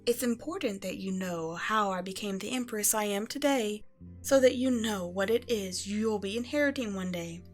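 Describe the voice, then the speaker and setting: A woman playing a regal evil empress and doting mother in an ASMR bedtime roleplay, speaking in a measured, tender, condescending way to a child. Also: medium pitch, lightly acted. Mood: amused, proud. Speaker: audio roleplay performer. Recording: music behind the voice.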